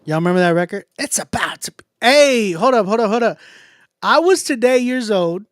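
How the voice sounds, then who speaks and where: American male livestream host, high-pitched and unhurried, informal slangy hype, dry close sound. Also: no echo. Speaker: livestream host of a music contest. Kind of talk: casual livestream chat.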